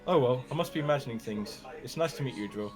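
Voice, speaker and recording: A man with a medium-pitched voice reads visual novel dialogue on a stream. He sounds casual and laid-back, tossing in joking asides. Game music is clearly heard behind him. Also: lively intonation, fast.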